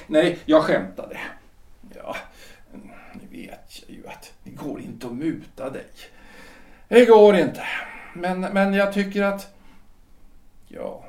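A man acting an anxious, insistent middle-aged husband in a period audio drama, hesitant and repeating his words. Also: fairly high pitch. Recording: roomy echo, distant from mic.

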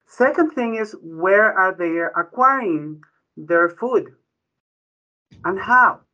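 Bright-voiced man, lo-fi. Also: sits high, lively intonation, takes it slowly. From Ecuador. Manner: frequent "right?" tag questions, restarts. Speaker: physician guest. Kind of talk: conversational explanation. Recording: dull lo-fi audio.

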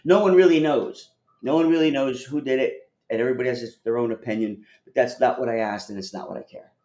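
A man's voice: mid-range voice, fast, a little mellow. A man hosting a casual call-in discussion, talking in a blunt, rambling way with plenty of swearing. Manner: profane, blunt, rambling explainer. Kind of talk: casual chat.

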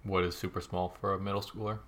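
A man co-hosting a casual chat podcast, teasing his co-host in quick banter. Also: deep voice, little pitch movement, a bit bright.

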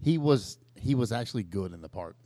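A man's voice: lowish voice, expressive, brisk, mellow, dry recording. A man chatting casually as a co-host of a podcast. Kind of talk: casual chat.

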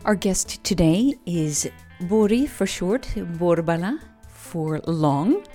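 A woman's voice: fairly low pitch, expressive, slow pace, dark tone, soft background music. A woman hosting an interview show, asking curious, upbeat questions. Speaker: podcast host.

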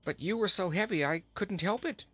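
A man's voice: mid-range voice, sing-song, normal speed, fairly bright tone. A man voicing an earnest, sorrowful young boy in a plain, subdued manner. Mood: apologetic.

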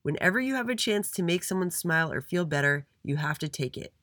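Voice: medium pitch, animated, brisk, a bit bright, dry recording, clean studio sound, voice pushed up, close to an everyday voice. A storyteller playing a kindly, wise grandmother giving earnest, encouraging advice in a children's story.

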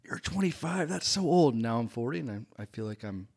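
A man's voice: medium pitch, animated, a little fast, gravelly, breathy edge. Friendly self-deprecating man in his forties. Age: 40s. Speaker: interview guest, photographer. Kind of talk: casual conversational interview.

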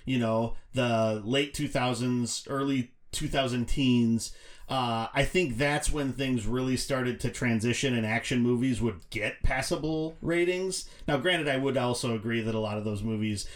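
A man co-hosting a podcast, discussing films casually in a measured, explanatory way. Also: mid-range voice. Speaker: podcast co-host.

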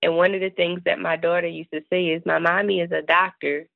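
A woman in her thirties, raspy from a cold, chatting easily as a podcast guest and laughing often. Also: on the low side, little pitch movement, a little fast, no room sound. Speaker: podcast guest, business consultant. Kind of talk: casual interview talk. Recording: tinny phone-line sound.

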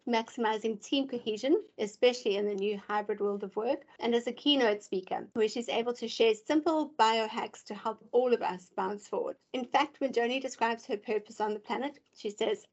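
A woman hosts a podcast interview in a husky voice that sounds short on sleep. She keeps an upbeat, chatty manner, and her voice sits somewhat high. The audio is a little dull and lo-fi. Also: darkish tone. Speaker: podcast host.

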